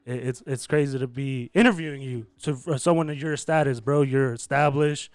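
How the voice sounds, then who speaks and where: A man's voice: medium pitch, brisk, clean tone, no room sound. A man, hosting a casual podcast interview in a slangy, hyped way with plenty of swearing. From Inland Empire, California, United States. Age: 20s. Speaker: podcast host.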